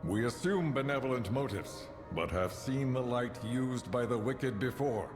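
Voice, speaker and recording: A man's voice: mid-range voice, lively intonation, a little slow, close to an everyday voice. A man playing an earnest, wary elven ranger in a fantasy video game, speaking formally and urgently. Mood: grave. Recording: echoey hall with loud music.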